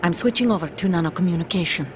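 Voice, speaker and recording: A woman playing a tough, guarded soldier in a video game, speaking gravely and tersely under a heavy burden. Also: on the low side, very fast, natural voice. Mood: urgent, guarded. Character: tough, guarded female soldier, burdened mother turned double agent. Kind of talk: dramatic video game cutscene acting. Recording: noisy mechanical hum behind voice.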